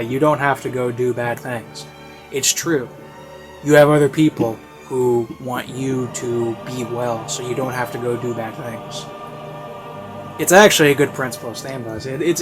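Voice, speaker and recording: A man's voice: mid-range voice, fairly bright tone. Rambling man over classical music. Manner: rambling, informal, repeats phrases for emphasis. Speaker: video reviewer. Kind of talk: casual unscripted spoken review. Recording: classical music playing behind voice. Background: baroque classical music.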